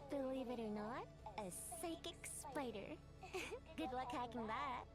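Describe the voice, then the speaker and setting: A woman's voice: high-pitched, animated, a little slow. A woman voicing a guarded, sardonic young female mech pilot in a video game. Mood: teasing. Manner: sardonic, skeptical. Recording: game audio with loud music.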